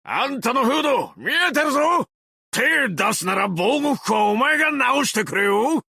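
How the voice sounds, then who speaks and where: A man playing a brash, cocky explosives fanatic with a tail in an anime game, talking in rough, swaggering slang with a gravelly voice. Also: very high voice, big pitch swings, normal speed, pushed and bright, dry recording, studio quality, exaggerated voice. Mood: bossy, fired up. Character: brash tailed male explosives fanatic, cocky bureau officer. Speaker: game character voice lines. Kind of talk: Japanese anime game voice acting.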